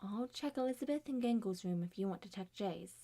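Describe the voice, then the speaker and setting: A woman voicing a level-headed young male detective in an amateur roleplay drama, dry, deliberate and matter-of-fact. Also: mid-range voice, animated, dark tone.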